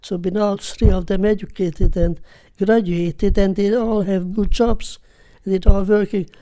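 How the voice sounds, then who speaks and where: An older woman from Hungary with a Hungarian accent, recalling her life in an unhurried, reflective way during an oral history interview. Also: mid-range voice, steady tone, dark tone. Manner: unhurried, reflective, simple phrasing.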